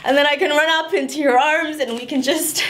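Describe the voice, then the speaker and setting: Woman acting a desperate young wife, high voice, nervous laughter, rambling, pleading, stage monologue. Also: fairly even intonation, fairly quick, a bit bright. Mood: frantic, laughing. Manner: laughing through near tears, rambling. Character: young wife frantically pleading against divorce.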